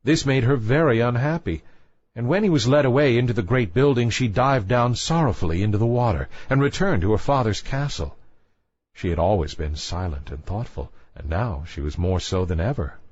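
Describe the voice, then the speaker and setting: A man's voice: medium pitch, a little mellow, no room sound, muffled recording. A man reading a scripted bedtime fairy tale as a storyteller.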